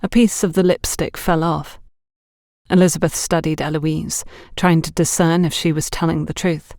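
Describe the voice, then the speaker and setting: A woman's voice: low voice, fairly even intonation, normal speed, a little mellow, no echo, studio quality. A woman reading scripted mystery fiction as an audiobook narrator.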